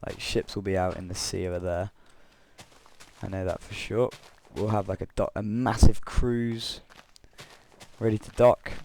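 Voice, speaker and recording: A young man with a croaky voice from being unwell, rambling through gameplay commentary and a story, correcting himself often with chuckling asides. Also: on the low side, flat delivery, measured pace, darkish tone, slight background noise. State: croaky voice from illness. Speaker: Minecraft let's player.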